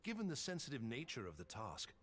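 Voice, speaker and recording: A man performs a grave military captain in a fantasy game. The phrasing is formal and deliberate, and the pitch moves in lively, dramatic swings. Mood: grave, confiding.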